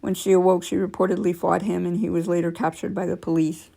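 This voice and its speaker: Female storyteller, scratchy and dark-toned, reading real-life creepy tales with offhand asides. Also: on the low side, flat delivery, quick pace, creaky. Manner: stumbles and corrects herself while reading. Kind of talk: reading aloud with casual asides.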